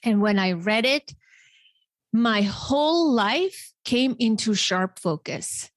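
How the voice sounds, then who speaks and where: A woman's voice: fairly high pitch, animated, slow pace, no room sound. A woman in her forties with an Armenian accent, hosting a podcast in an earnest, emphatic way. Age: 40s. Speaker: podcast host. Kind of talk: podcast introduction and interview talk.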